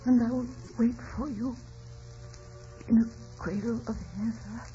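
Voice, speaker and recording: A woman's voice: a little high, fairly even intonation, measured pace, dark tone, natural voice. An actress playing a tender, sorrowful woman in a radio melodrama, earnest and theatrical. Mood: tender, fading. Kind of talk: 1950s radio drama acting. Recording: dull old radio broadcast, music behind.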